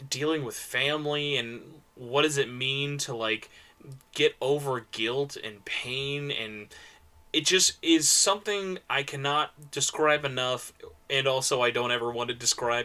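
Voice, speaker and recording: A man's voice: mid-range voice, bright, forceful tone. A man giving a casual, unscripted online panel talk, enthusiastic and emphatic, rambling with lots of filler words as he makes recommendations. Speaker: convention panelist recommending visual novels. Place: virtual convention panel, speaking online.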